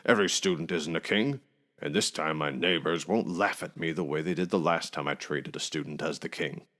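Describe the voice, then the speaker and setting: A man's voice: very deep voice, fairly quick, a bit bright. A man voicing a self-important, eager-to-please innkeeper in a folk tale, emphatic and bossy with his servants. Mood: smug. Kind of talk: folk tale storybook reading.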